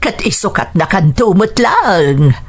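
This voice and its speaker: A woman's voice: fairly low pitch, big pitch swings, unhurried, slightly rough, full character voice. An actress with an Ilocano accent playing a strict but kindly older mother, doubtful and protective, in a radio drama. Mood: worried, stern.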